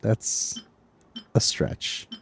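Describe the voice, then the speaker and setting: A man hosting a let's play, blurting out loud, incredulous reactions to the story. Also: extremely slow, slight background noise. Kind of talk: casual gameplay commentary.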